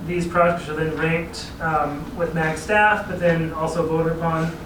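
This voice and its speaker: Man briefing a council, slightly high voice. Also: steady tone, measured pace. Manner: explanatory, easygoing, chuckles at own asides. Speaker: regional transportation planning staff presenter. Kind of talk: informal briefing to a city council. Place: city council chamber. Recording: echoey chamber, distant mic, clear background noise.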